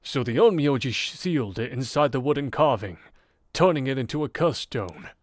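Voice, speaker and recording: A man voicing a game character who recounts an old legend in a measured, formal, theatrical way. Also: mid-range voice, expressive, no echo, studio quality, close to an everyday voice. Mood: measured, explanatory. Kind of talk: dramatic scripted visual novel storytelling. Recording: game audio with background music.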